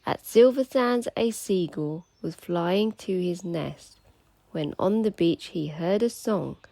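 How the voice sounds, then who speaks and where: A woman reading a children's picture book aloud in an expressive, sing-song storytelling manner. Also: fairly low pitch, slow, creaky, no echo. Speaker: teacher reading stories for children.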